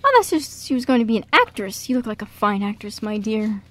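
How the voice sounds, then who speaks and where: A woman playing an excitable, old-fashioned male theater director in an amateur radio play. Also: fairly high pitch, fast talker. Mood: excited.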